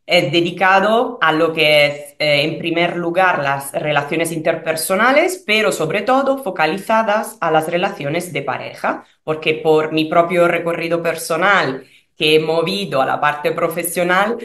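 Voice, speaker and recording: A woman in her thirties from Italy, with an Italian accent, answering interview questions as a relationship coach over a video call in a room at home, expressive and pausing with fillers. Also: lowish voice, roomy sound. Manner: expressive, searching for words with fillers. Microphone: video call webcam.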